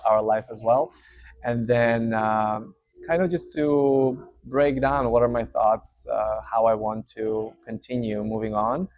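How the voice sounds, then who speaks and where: A man in his thirties from Serbia with a Serbian accent, hosting his channel and talking straight to camera in a relaxed, unscripted way with many fillers. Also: fairly low pitch. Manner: warm, hesitant, many fillers. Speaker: channel host. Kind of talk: casual unscripted update to camera. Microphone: speaking to camera.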